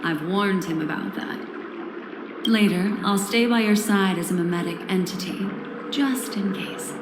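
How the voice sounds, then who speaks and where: A woman voicing a composed, enigmatic female memory-keeper in a video game, speaking in formal, deliberate, protective phrases. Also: medium pitch, background noise, close to an everyday voice. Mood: earnest, resolute. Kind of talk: scripted video game character dialogue. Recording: roomy echo, loud background ambience.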